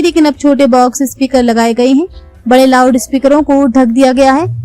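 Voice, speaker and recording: A woman delivers scripted news narration in a high-pitched voice. Faint music plays behind her as she reads the report. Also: darkish tone, dry recording, poor audio.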